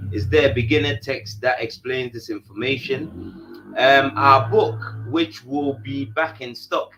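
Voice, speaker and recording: A man from Ghana co-hosting a live Q&A stream, answering viewers softly and haltingly with many fillers. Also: lowish voice. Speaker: co-host.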